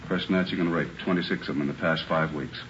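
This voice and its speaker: An actor playing a brusque, demanding police captain in a radio drama, gruff and insistent. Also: male voice, a bass voice, fairly even intonation, quick pace, fairly bright tone. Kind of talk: 1940s radio acting. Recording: dull, noisy old radio recording.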